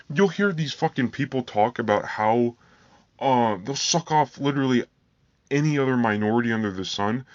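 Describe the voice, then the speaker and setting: Flat-voiced man ranting angrily. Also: mid-range voice, dry recording. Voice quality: flat monotone voice. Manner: profane, heated, repetitive. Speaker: vlogger. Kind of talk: angry ranting monologue. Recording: dull lo-fi dry recording.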